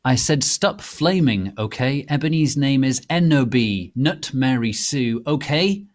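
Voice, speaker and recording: A man's voice: medium pitch, expressive, unhurried, voice pushed up, lightly acted. A man voicing a defensive, petulant teenage girl author reading out notes to readers, indignant and emphatic.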